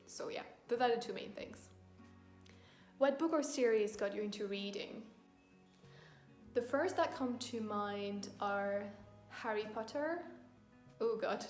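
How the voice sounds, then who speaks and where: A woman with a French accent talks through a casual book-tag video, thoughtful and a little hesitant, often pausing to find her words. Her voice carries an echoey room sound, with faint music playing underneath. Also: mid-range voice. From France. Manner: thoughtful, hesitant, many ums and restarts. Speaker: book vlogger.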